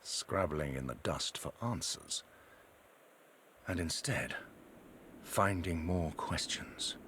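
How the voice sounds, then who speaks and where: An actor playing a learned archaeologist with a theatrical, musing manner in video game dialogue. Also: male voice, sits low, takes it slowly, pushed and bright, a little hiss or hum, heavily acted.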